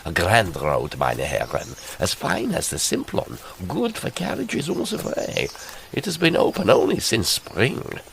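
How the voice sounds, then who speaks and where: A hearty Alpine guide in an audio drama. His gravelly voice sits very deep and swings boldly in pitch. Clear background ambience surrounds him. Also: huge pitch swings, unhurried, fairly bright tone, slight background noise, full character voice. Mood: proudly informative. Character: male Swiss mountain guide, hearty and practical.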